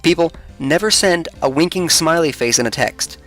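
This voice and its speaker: A man's voice: on the high side, moderate pace, fairly bright tone, voice pushed up, natural voice. A man voicing an elderly courtroom judge in a video game, fussy and emphatic, easily flustered. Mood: flustered, scolding. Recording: music under the voice.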